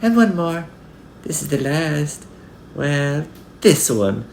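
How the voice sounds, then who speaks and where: A man's voice: on the high side, sing-song, takes it slowly, vocal fry, a little mellow, reverberant, a little hiss or hum, pitched above the performer's own voice, heavily acted. A man voicing a sweet, naive little girl in a storybook, exclaiming and drawing out words. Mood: delighted.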